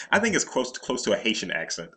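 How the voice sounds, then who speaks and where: A man co-hosting a podcast, chatting crudely and loudly, often laughing at his own jokes. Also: mid-range voice, huge pitch swings, brisk, background noise. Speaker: podcast co-host. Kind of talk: crude casual chat.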